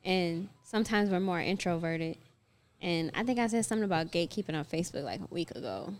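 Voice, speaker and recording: A woman's voice: fairly low pitch, expressive, fast talker. A woman with an American accent co-hosting a casual chat, speaking in a plain, slangy, conversational way. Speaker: podcast co-host.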